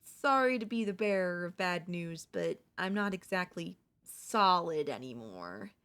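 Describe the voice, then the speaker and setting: A woman's voice: lowish voice, expressive, unhurried, a bit bright, clean tone. A woman voicing a cute, affectionate young ghost girl in an intimate ASMR audio roleplay, casually frank and hesitant. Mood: sheepish. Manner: casual, hesitant, matter-of-fact about grim things. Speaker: voice actor.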